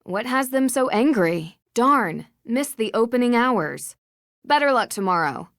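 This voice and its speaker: A woman playing a polite, probing young amateur detective in a video game, speaking earnestly and clearly, in a close dry recording. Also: on the high side, expressive, unhurried, no echo. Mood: curious. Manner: earnest, articulate questioning. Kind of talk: scripted video game dialogue.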